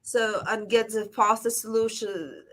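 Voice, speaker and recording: A woman from Latvia, a surgeon, speaking as an interview guest in a halting way, repeating words as she goes. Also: fairly high pitch, steady tone, a bit bright. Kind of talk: interview conversation.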